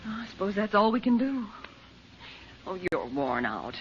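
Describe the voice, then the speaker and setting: A woman's voice: medium pitch, moderate pace, natural voice. Anxious mother played by a woman, middle-aged, swooping emotional delivery, muffled vintage radio drama. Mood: worried. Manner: earnest, expressive, fretful. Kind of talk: 1930s-40s radio serial acting. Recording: muffled old radio recording, faint hiss.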